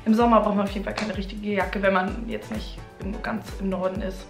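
A woman's voice: medium pitch, flat delivery, quick pace, reverberant, over music. A young woman vlogging in a home living room, chatting casually and hesitantly with many fillers. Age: young adult. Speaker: au pair vlogger. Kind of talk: casual chat.

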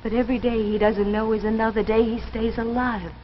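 An actress playing an anguished young daughter with a desperate secret, pleading breathily in 1950s television drama style. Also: female voice, fairly high pitch, flat delivery, a little fast, lightly acted. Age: young adult. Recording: muffled, noisy 1950s television soundtrack.

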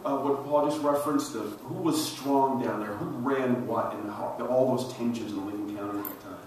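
A man's voice: medium pitch, steady tone, moderate pace, a little mellow. A man moderating a panel on stage, putting questions in a casual, conversational way. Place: large auditorium stage. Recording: very echoey hall, distant, faint noise.

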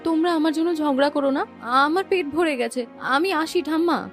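A woman's voice: high voice, fairly quick, faint music behind, close to an everyday voice. A woman voicing a humble, self-sacrificing young village woman in a children's cartoon, with a plaintive manner. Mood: apologetic.

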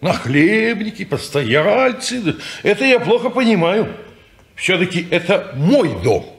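An actor playing a stern old landlord in an old radio play, grumbling and lecturing. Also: male voice, high voice, very animated, unhurried, full character voice. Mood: disapproving. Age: older. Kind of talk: 1950s radio play acting.

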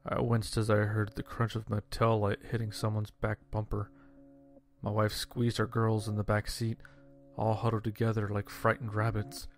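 A man narrating a scripted first-person horror story in a measured, dramatic way. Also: fairly low pitch, completely flat delivery. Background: music.

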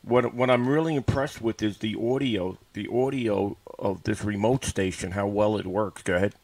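A man's voice: lowish voice, moderate pace, darkish tone, no room sound. A man from the USA making casual amateur radio contacts, using callsigns and radio phrases and often repeating himself. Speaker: amateur radio operator and livestream host. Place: indoors at a computer. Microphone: studio microphone connected to PC.

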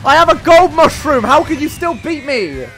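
A man in his twenties giving excitable, sweary casual commentary while gaming with friends, on a close microphone. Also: extremely high pitch, normal speed, low-quality recording. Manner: excitable, exclamatory, swears freely. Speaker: game streamer. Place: indoor room streaming setup. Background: video game music.

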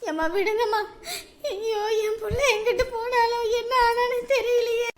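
A woman's voice: very high-pitched, fairly quick, a bit bright, reverberant, slight background noise, pitched above the performer's own voice. A woman voicing a distraught village mother who pleads through tears for news of her missing daughter. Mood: sobbing. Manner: pleading, sobbing.

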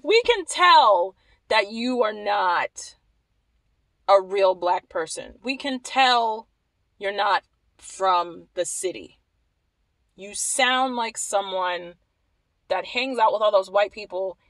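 A young woman from Maryland giving animated, wry commentary to the camera, repeating phrases for emphasis. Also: mid-range voice, takes it slowly, dry recording, low-quality recording. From Maryland, USA. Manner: animated, wry, repeats herself when emphasizing, laughs at points. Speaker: vlogger. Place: small indoor room against a plain wall.

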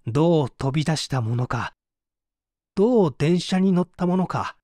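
A man's voice: a little high, lively intonation, moderate pace, a little mellow, dry recording, studio quality, lightly acted. A man playing an anguished painter confessing to an interrogator in a polite, emotive radio-drama reading. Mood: haunted, agitated. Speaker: narrator and reader.

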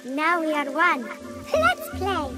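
A woman's voice: high voice, sing-song, slow pace, exaggerated voice. A woman voicing a cute, eerie childlike girl in a video game, with a teasing sing-song delivery that is playful and menacing. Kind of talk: video game cutscene acting. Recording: music over the voice.

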